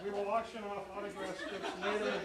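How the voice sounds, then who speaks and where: High-voiced man announcing informally. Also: flat delivery, a little fast, a crowd in the background. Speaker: script writer and presenter. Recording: faintly noisy live club meeting.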